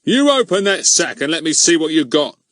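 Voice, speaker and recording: A man's voice: mid-range voice, expressive, moderate pace, a bit bright, no room sound, clean studio sound, voice pushed up, lightly acted. A man voicing a pompous, heavy middle-aged village policeman who barks bossy, threatening demands. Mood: bullying.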